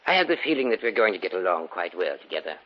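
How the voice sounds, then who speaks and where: An actor playing a lonely, agreeable old gentleman in an old radio drama, courteous, measured and mildly hesitant. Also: low-pitched, big pitch swings, over loud music. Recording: muffled vintage radio recording.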